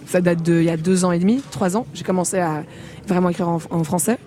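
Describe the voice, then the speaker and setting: A woman's voice: on the low side, fairly even intonation, fast talker, warm tone. A woman from the Congo, a singer as a radio interview guest, talking candidly with frequent laughs and fillers.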